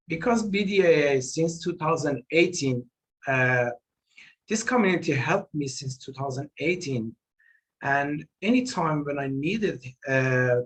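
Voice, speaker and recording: A man with a non-native English accent, giving earnest, halting and repetitive remarks and answers as a guest presenter in an online meeting. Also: fairly high pitch, fairly bright tone, poor audio. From outside Australia, moved there in 2018. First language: not English. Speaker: guest presenter, building designer.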